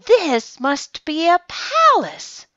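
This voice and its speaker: A woman's voice: very high-pitched, huge pitch swings, drawn-out words, sharp tone, no echo, highs cut off, voice pushed up, heavily acted. A woman voicing a small, innocent male toy bear in a children's storybook, earnest and full of wonder. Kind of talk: storybook character voice.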